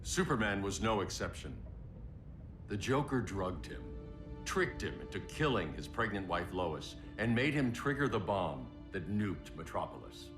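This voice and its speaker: A deep-voiced, grim crimefighter of middle age, speaks in measured, solemn phrases with a gravelly growl. It is video game cinematic acting, echoing under loud music. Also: male voice, sing-song. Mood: grave, somber. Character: grim, stern middle-aged male vigilante crimefighter and father.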